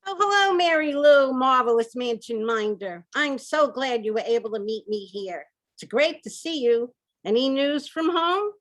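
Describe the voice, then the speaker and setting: A woman's voice: sits high, expressive, normal speed. A woman playing an officious, easily appalled senior center director in an old-time radio comedy. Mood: pleased greeting.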